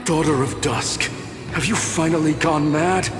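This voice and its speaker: An echoing male voice over music, an actor as a devout, distressed man in a fantasy game. He sounds reproachful and dramatic in a fairly high register. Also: steady tone, unhurried, natural voice. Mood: outraged. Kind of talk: video game voice acting. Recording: echoey hall, music behind.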